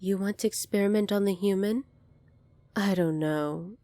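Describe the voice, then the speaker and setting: A woman playing a poised, kindly young alien princess in an ASMR roleplay, polite, formal and measured, soft-spoken with breathy moments. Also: medium pitch, no room sound, lightly acted. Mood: stern, authoritative.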